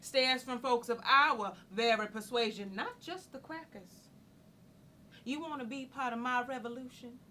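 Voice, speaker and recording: A woman's voice: a little high, a little slow, bright, forceful tone. An actress playing a proud, outspoken, worldly radical woman from a small Southern town, telling her story emphatically in a stage monologue. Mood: worldly, assured. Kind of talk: theatrical monologue.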